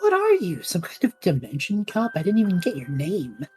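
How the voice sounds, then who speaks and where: A woman's voice: fairly low pitch, normal speed, faint music behind. Woman playing a cheeky, swooping, cocky young adventurer lad. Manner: flippant, chatty, excitable. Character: cheeky, cocky young male adventurer and self-styled broadcaster. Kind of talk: fantasy audio drama acting.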